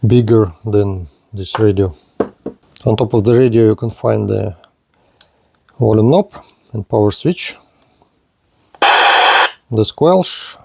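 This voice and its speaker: A man reviewing and demonstrating a radio, speaking in slow, halting. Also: fairly low pitch, vocal fry, darkish tone, no room sound. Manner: slow, halting non-native phrasing. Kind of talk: product demonstration.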